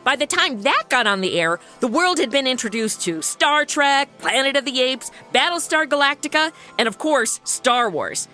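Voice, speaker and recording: Sarcastic modern young woman, played by a woman, high and bright, quick wisecracks. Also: big pitch swings, faint music behind, full character voice. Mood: snarky. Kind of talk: comedy audio drama acting.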